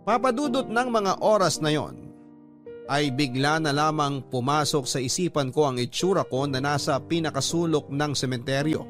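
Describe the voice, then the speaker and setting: A man narrating a listener's letter in first person, in a steady, storytelling manner. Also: a little high, moderate pace. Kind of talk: scripted narration. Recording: music bed behind narration.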